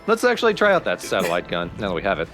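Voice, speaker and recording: A man's voice: deep voice, wildly swooping pitch, racing, a bit bright, faint music behind. A man giving casual let's play commentary as the player, with dry, wry asides.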